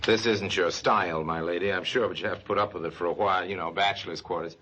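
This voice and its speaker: An actor playing a gruff, sardonic smuggler and truck driver in an old-time radio drama, brusque and hard-boiled. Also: male voice, low-pitched, fairly even intonation, fast, bright, forceful tone, full character voice. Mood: wry narrating. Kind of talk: old-time radio drama acting with first-person narration.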